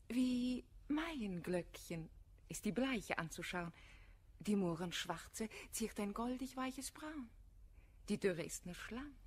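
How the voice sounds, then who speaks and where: Ironic, breathy society woman. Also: medium pitch. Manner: articulate, playfully ironic recitation. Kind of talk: German radio play, declaimed rhymed verse.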